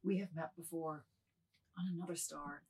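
A woman's voice: low-pitched, fairly even intonation, moderate pace, darkish tone, husky, recorded from a distance. A woman acting a meek, guilty, pleading middle-aged man in a dramatised novel reading, sounding a little away from the microphone.

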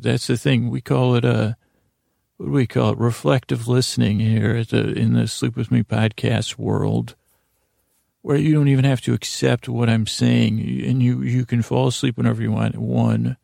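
A man hosting a bedtime podcast in a gravelly voice, with a meandering, halting monologue that keeps interrupting itself and wandering off. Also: medium pitch, mellow, no echo, studio quality. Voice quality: gravelly, creaky. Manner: meandering, halting, self-interrupting, trails off on tangents. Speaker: podcast host.

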